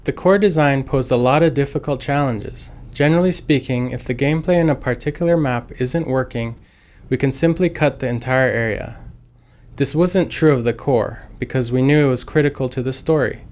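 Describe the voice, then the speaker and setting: Guy reading scripted game dev commentary, really clean smooth voice, medium pitch. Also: normal speed, a little mellow. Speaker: game developer.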